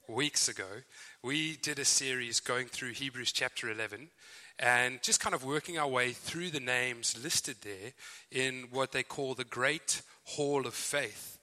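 A man's voice: mid-range voice, pushed and bright. A man with a Zimbabwean accent, preaching a sermon as a visiting speaker in a friendly, chatty way with fillers and asides. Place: church hall. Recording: church hall reverb.

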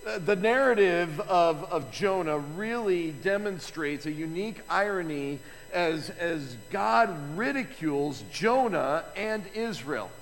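A man preaching a sermon as pastor, chatty and lively, with asides to the congregation. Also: high voice, slow pace, few pauses. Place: church sanctuary. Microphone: microphone with feedback issues. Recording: long hall reverb, faint ambience.

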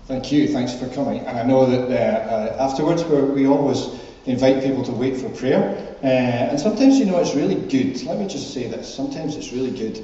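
A man's voice: mid-range voice, brisk, hardly any pauses, dark tone. Man leading church in a very echoey hall. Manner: chatty, off the cuff. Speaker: church service leader. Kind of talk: informal church address and scripture reading. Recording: echoey hall, distant, dull, faint noise.

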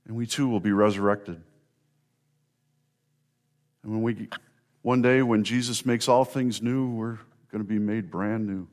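A man preaching an Easter sermon as a pastor, earnest and warm in delivery, sometimes building to emphatic repetition. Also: on the low side, fairly even intonation, a little slow, long pauses.

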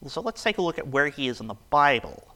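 A man preaching a casual, conversational sermon, his voice raspy and hoarse from a cold. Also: fairly high pitch, big pitch swings, fast, sharp tone, poor audio.

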